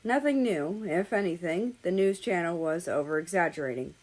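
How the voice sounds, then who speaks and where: A woman's voice: on the low side, expressive, normal speed, darkish tone. A woman reading a story aloud as a scripted narration, in the role of an audiobook-style reader.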